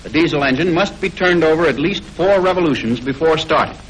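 An American man reading scripted 1940s instructional film narration in a formal, measured way, on an old film soundtrack. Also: a little high, fairly bright tone, a little hiss or hum, low-quality recording.

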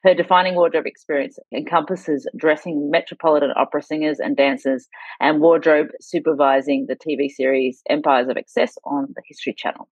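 Low-voiced woman host, dry dull sound. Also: steady tone, brisk, darkish tone, husky, dry recording. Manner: reads intro, then casual questions with fillers. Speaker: podcast host. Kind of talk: interview host.

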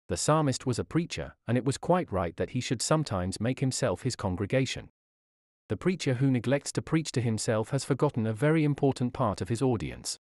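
Man reading a sermon with lively pitch. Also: no echo, clean studio sound. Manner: solemn, old-fashioned preaching cadence. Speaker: reader of a historical sermon.

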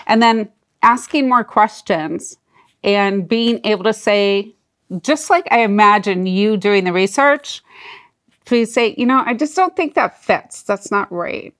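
Slow-talking middle-aged woman host. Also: mid-range voice. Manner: slow, warmly appreciative. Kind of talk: interview.

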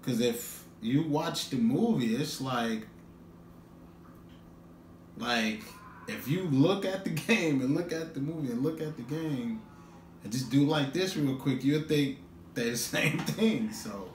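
A middle-aged man talks slowly and playfully, with a very lively pitch that swings around. He sounds like a casual home video host, picked up from a few feet off in an echoey room. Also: far from the mic. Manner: giggly, breaks into high laughter. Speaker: YouTube reaction host. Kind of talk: casual, playful reaction chat. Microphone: camera a few feet away. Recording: echoey room, faint background noise.

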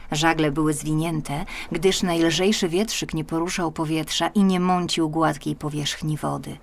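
A woman narrating a children's fairy tale audiobook expressively and dramatically, moving between hushed and swelling phrases. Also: low-pitched, vocal fry. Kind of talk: expressive scripted children's storytelling. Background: music.

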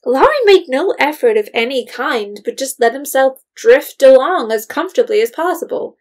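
A woman narrating a period audio drama in a measured, literary storytelling manner. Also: high voice, animated, darkish tone.

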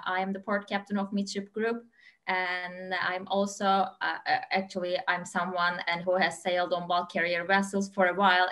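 A woman's voice: fairly low pitch, a bit bright. A woman in her thirties from Turkey with a Turkish accent, speaking on an online panel over a video call, hesitant, with many fillers and restarts. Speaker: panelist, port captain. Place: home room with lamp.

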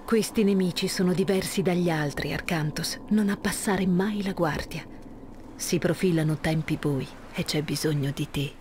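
Woman voicing a low-voiced solemn goddess. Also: moderate pace, darkish tone, a little hiss or hum, close to an everyday voice. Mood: solemn, warning. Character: solemn goddess guiding and warning a hero. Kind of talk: dubbed video game cutscene acting.

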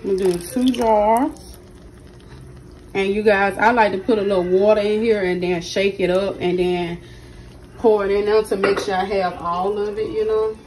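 Hoarse woman chatting while she cooks. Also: medium pitch, fairly even intonation, moderate pace, darkish tone, a little hiss or hum, low-quality recording. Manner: chatty, keeps addressing viewers directly. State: hoarse, partly lost voice. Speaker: cooking channel host. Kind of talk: casual cooking vlog narration. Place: home kitchen at the stove. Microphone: phone held in hand while cooking.

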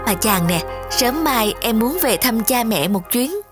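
A woman's voice: on the high side, lively intonation, unhurried, loud music behind the voice. A woman voicing a greedy, scheming young fairy-tale princess in a children's cartoon, with an affected, coquettish manner. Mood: coaxing, sweet. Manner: coaxing, affected.

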